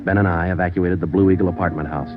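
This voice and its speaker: A man's voice: low-pitched, fast talker, close to an everyday voice. A man playing a laconic American homicide sergeant, narrating a 1940s radio crime drama in a clipped, matter-of-fact way. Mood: deadpan. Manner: deadpan, terse, matter-of-fact. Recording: dull 1940s radio recording, music behind.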